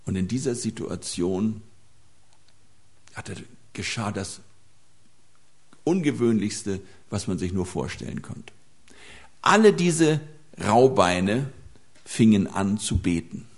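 A man's voice: fairly low pitch, expressive, takes it slowly, long pauses. A man preaching a sermon to a gathering, telling anecdotes with small hesitations.

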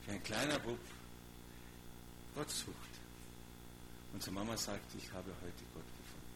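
A man's voice: fairly low pitch, lively intonation, unhurried. A middle-aged man preaching a sermon in a colloquial, exhorting way, putting rhetorical questions to his listeners and reading scripture. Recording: clearly noisy recording with hum.

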